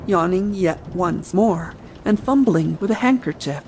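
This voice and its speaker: A woman's voice: on the low side, lively intonation, a little slow, a little mellow, slight background noise, poor audio. A woman narrator reading a scripted adventure audiobook meant for bedtime listening. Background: ambient sea sound bed.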